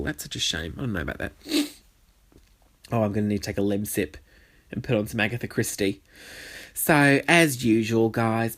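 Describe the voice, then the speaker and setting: Chatty, cheeky young man. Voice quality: nasal. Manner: chatty, cheeky and swearing, with lively pitch swings. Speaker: podcast host. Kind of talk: casual solo podcast chat. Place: bedroom.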